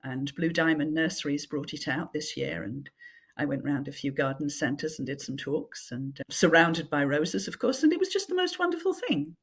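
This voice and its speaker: A low-voiced Englishwoman with a husky, breathy edge. Her pitch swings widely as she chats eagerly about books and gardens. She speaks over a video call. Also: animated, normal speed. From England, Yorkshire. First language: French. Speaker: author guest. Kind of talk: casual interview chat. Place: home in Yorkshire, remote call.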